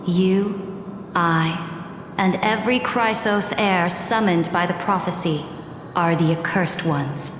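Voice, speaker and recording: A woman playing a ruthless, proud imperator in a video game, speaking gravely and deliberately like a cold, resolute ruler. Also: lowish voice, noisy background. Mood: imperious. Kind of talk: dramatic scripted video game acting. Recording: echoey hall reverb under game music.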